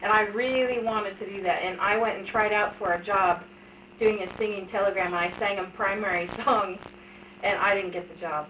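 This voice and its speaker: A woman's voice: mid-range voice, steady tone, moderate pace, fairly bright tone, recorded from a distance. A woman in her thirties telling candid, funny stories about her life in a casual family interview. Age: 30s. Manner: chatty, candid storytelling with self-deprecating humor. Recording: echoey room, voice from off-mic, light hiss.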